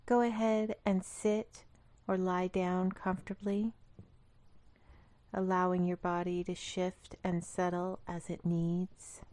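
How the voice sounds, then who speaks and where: A woman leading a guided meditation in a calm, gentle, instructional manner. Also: on the low side, slow, no room sound. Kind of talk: guided body scan meditation.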